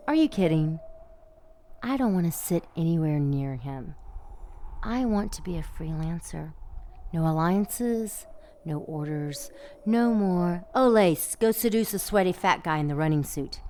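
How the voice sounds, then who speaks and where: A sultry female demon from an audio drama, speaks slowly in a lowish voice. She is sardonic and scheming, teasing out key words with a breathy purr. There is faint noise and ambience behind her. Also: lively intonation, darkish tone. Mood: defiant.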